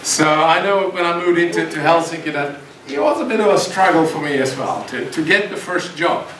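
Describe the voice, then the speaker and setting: Man, middle-aged, guest lecturer; halting, restart-heavy delivery, echoey hall, faint hiss. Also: a little high, animated, fairly bright tone. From Finland. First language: Swedish. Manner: hesitant, many restarts and repeated words. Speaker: guest speaker, university lecturer. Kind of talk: seminar talk to students. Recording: echoey hall, distant mic, faint noise.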